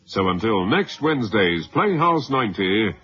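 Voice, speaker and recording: Slow, sweeping male radio announcer. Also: on the low side, sing-song. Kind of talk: formal vintage radio announcing, scripted. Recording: dull, faintly noisy old radio recording.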